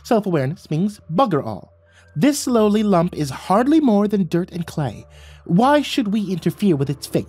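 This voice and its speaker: A man's voice: high-pitched, big pitch swings, moderate pace, a little mellow, breathy edge, soft background music, pitched above the performer's own voice, heavily acted. A man voicing a tiny, imperious sprite king who speaks in pompous, scornful, archaic proclamations in a fantasy story.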